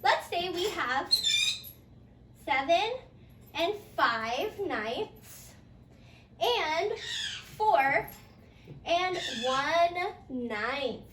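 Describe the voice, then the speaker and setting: A woman teacher with a very high voice leads a playful, storybook-style lesson at an unhurried pace. She is encouraging, asking sing-song questions and repeating her pupil's answers. The room is echoey, with faint noise behind her. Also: animated, a bit bright. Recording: roomy echo, faint background noise.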